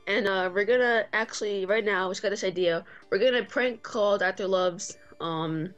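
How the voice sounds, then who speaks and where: A woman's voice: medium pitch, moderate pace, fairly bright tone, soft background music. A woman chatting casually and making a prank phone call, with a giggly manner. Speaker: guest co-host, prank caller.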